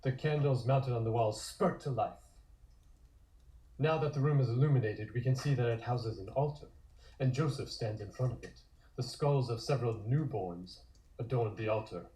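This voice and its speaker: Very mellow male narrator. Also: mid-range voice, moderate pace, low-quality recording. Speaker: narrator of a comic table read. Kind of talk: scripted scene narration, present tense.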